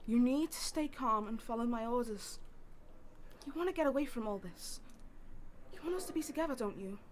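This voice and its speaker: A woman's voice: on the high side, expressive, brisk, natural voice. A woman playing a possessive, controlling female killer in an audio drama, commanding and intense. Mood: cold, controlling. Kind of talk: dramatic audio scene acting. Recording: clear background noise.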